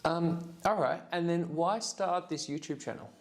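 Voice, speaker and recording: A man's voice: a little high, measured pace. A young man casually interviewing a friend, friendly and loose, with many fillers.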